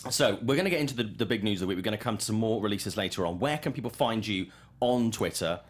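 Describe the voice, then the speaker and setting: Lively, low-voiced man hosting a chat show. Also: quick pace. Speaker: host of a movie news talk show. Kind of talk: casual panel chat.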